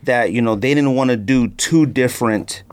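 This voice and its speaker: A man with a mid-pitched voice talks as a podcast host. His delivery is conversational and informal, full of slang, like someone chatting through a product with a friend. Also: normal speed, smooth. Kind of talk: casual sneaker podcast chat, unboxing.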